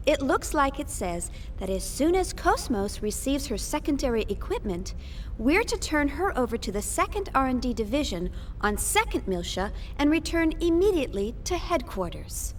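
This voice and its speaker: A woman's voice: sits high, sing-song, moderate pace, slight background noise. An actress playing a headstrong young woman scientist in a video game, assertive and articulate. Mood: firm, composed. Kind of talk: English-dubbed anime-style game acting.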